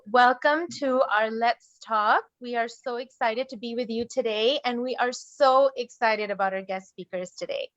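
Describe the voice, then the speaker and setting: A woman's voice: fairly high pitch, dry recording, lo-fi sound. A woman moderating an online panel, introducing guest speakers in a formal but friendly way over a video call. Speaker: moderator and case management director.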